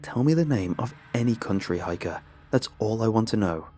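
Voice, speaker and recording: A man's voice: on the low side, animated, moderate pace, warm tone. A man voicing a hot-tempered ranger in a horror story, blunt, threatening and commanding. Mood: stern interrogation. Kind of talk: dramatic horror audiobook character.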